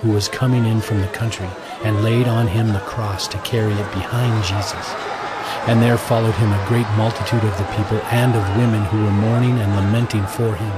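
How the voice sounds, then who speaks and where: A man giving measured, solemn scripted narration of scripture. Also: lowish voice, steady tone, over a noisy crowd. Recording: prominent music bed.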